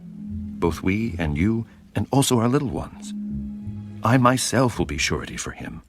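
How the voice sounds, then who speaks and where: A man's voice: on the low side, sing-song, a little slow, faint music behind. An actor playing a solemn, earnest grown son in a Bible audio drama, grave and emphatic in his delivery. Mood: earnest pleading.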